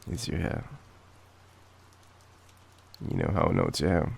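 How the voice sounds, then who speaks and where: An Australian man with a cold speaks in a soft, halting, affectionate way, as in an intimate boyfriend roleplay. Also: rumbling low, big pitch swings, creaky, husky. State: sick with a cold. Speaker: audio roleplay performer playing an affectionate sick boyfriend. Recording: background noise of rain. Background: rain ambience.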